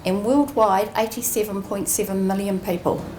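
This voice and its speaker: A woman giving a prepared ceremony speech as an executive, measured and earnest with deliberate emphasis. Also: mid-range voice, fairly even intonation, darkish tone. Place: indoor ceremony room. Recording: roomy echoing venue, faint background noise.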